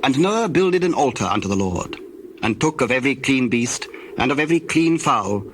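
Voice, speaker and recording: A man narrating dramatized scripture in a formal, measured storytelling manner. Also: medium pitch, expressive, slight background noise. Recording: loud nature ambience with birdsong behind.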